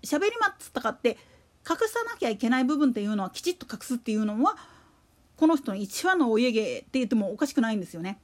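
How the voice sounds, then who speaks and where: A woman's voice: on the high side, big pitch swings, fast. A woman giving casual solo commentary as a podcast host, rambling and opinionated, with emphatic repetition.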